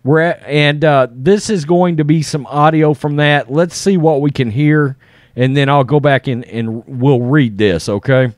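A man's voice: a little high, unhurried, dry recording, studio quality. A middle-aged American man hosting an online show, reading a news article aloud and adding casual off-the-cuff commentary.

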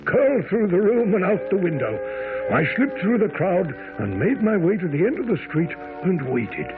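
Loyal gentleman storyteller, really expressive, tinny muffled old radio broadcast. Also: sits high, normal speed, over loud music. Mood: earnest, dramatic. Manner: earnest, storytelling. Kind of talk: dramatic scripted narration in radio play.

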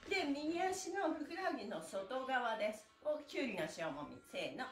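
Middle-aged female fitness instructor: fairly high, very animated, sing-song and encouraging, recorded in a reverberant room. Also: moderate pace, soft background music. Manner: cheerful, encouraging, sing-song calls while demonstrating stretches. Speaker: stretching instructor. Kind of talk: live exercise lesson with chanted counting. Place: small bare indoor room. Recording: echoey room, mic at a distance.

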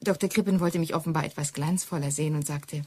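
An actress playing a self-assured, well-mannered young secretary, formal and evasive, in a radio play. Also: female voice, deep voice, steady tone, fast talker, dark tone, husky. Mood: composed, lightly charming. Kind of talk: German radio drama dialogue.

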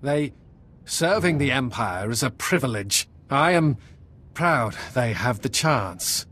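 An actor playing a proud, conflicted young Sith apprentice in a video game, solemn and defiant. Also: male voice, medium pitch, lively intonation, takes it slowly, a bit bright, husky, exaggerated voice. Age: young adult. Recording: music playing behind the voice.

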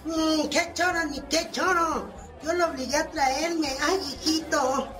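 A forgetful, doting old Mexican granny, acted by a woman in a radio soap. Her voice is high and her talk is folksy and fumbling. Music plays behind her. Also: steady tone, slow pace, poor audio. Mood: grumbling fondly. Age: older. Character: forgetful, doting old grandmother with loose dentures. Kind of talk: radio soap opera acting.

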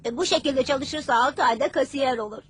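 A woman's voice: sits high, fairly quick, slight background noise, close to an everyday voice. A woman voicing a frank young child, the hero's friend, in a Turkish cartoon dub. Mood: matter-of-fact.

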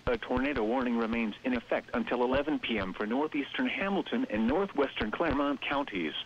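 A man's voice: medium pitch, a little hiss or hum. Muffled synthetic male weather radio announcer. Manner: synthetic, measured, impersonal delivery. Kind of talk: scripted emergency weather bulletin. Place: automated radio broadcast.

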